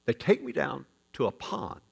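A man pastor preaching a sermon as folksy, joking storytelling, with sudden loud rises in his delivery. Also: mid-range voice, wildly swooping pitch, normal speed, creaky, husky.

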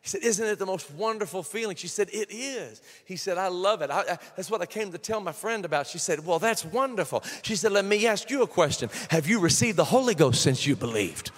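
High-voiced pastor preaching in a reverberant church. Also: male voice, expressive, brisk, hardly any pauses. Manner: swings from quiet storytelling to shouted praise. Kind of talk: sermon.

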